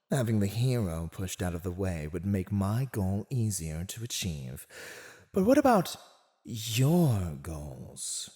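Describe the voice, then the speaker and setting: Villain, played by a male actor, ASMR roleplay: low-voiced, very unhurried, theatrical, teasing and smugly persuasive toward his captive. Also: darkish tone. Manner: condescending, theatrical, seductively persuasive. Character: silver-tongued, teasing male villain gloating over a captive. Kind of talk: scripted ASMR roleplay acting.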